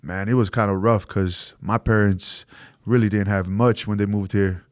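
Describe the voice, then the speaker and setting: Young male fighter, born in American Samoa, low voice, easygoing and chatty, dry close recording. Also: fairly even intonation, normal speed, dry recording. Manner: laid-back, says "bro" and "yeah" a lot, laughs easily. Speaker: guest, MMA fighter. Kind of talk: casual interview chat.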